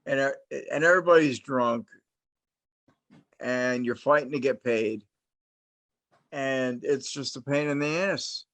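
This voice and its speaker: A middle-aged man from New Jersey talks slowly and at an easy, unhurried pace. He rambles through his stories, full of restarts and fillers. His voice sounds dry and close, with no room in it. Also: medium pitch, fairly bright tone, no echo. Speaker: host, interviewer. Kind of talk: casual interview chat, long storytelling.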